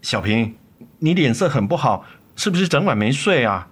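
Cheating husband, widely swinging pitch. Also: male voice, big pitch swings, moderate pace, slightly rough. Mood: worried. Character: cheating husband and father, defensive, pleading, remorseful. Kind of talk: Mandarin radio drama acting. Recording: studio radio drama with music underneath.

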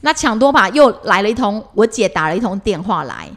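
A woman's voice: mid-range voice, big pitch swings, brisk, a bit bright. A middle-aged woman with a Taiwanese accent chatting casually and expressively as a podcast co-host, recounting everyday life like a story.

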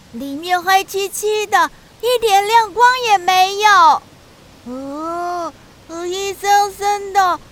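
High, bright childlike lonely Darkness. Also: female voice, exaggerated voice. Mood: awed, fearful. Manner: childlike, plaintive, wide-eyed, drawn-out exclamations. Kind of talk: children's radio drama acting.

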